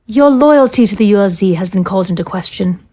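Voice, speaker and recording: Woman playing a smug, ruthless scientist, medium pitch. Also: expressive, dark tone, lightly acted. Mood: coolly accusing. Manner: formal, sardonic, measured wording. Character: cold, smug, ruthless female scientist in authority. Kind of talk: audio drama acting.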